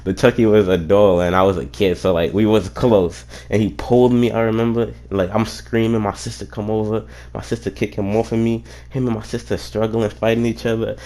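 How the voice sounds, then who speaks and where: A man's voice: fairly low pitch, flat delivery, fairly quick, few pauses, warm tone. A young man streamer telling a casual, rambling story, swearing freely and often stopping to say "what you call it.".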